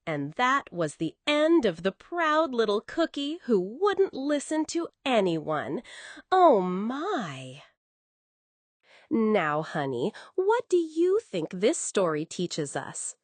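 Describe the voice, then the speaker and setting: Slow, very expressive woman storyteller. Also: a little high, no echo. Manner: expressive, coddling, addresses a young child. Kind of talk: scripted children's bedtime narration.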